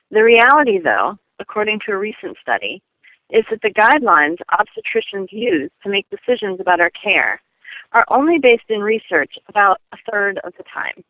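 A woman presenting a scripted informational segment, earnest and expressive. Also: medium pitch, no room sound. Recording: tinny phone-like line.

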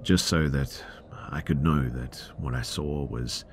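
A man reading a first-person horror story aloud in a hushed, drawn-out dramatic delivery, dropping into a whisper at times. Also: a bass voice, slight background noise. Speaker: narrator. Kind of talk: scripted horror story narration.

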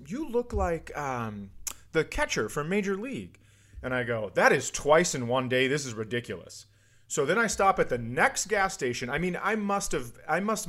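A man's voice: fairly high pitch, a bit bright. A man hosting a comedy podcast, bantering casually and telling self-deprecating, profane stories.

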